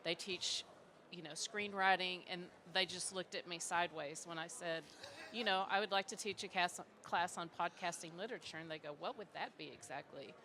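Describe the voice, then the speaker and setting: Bright, low-pitched woman asking a question. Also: moderate pace. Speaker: university teacher asking audience questions. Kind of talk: casual audience question.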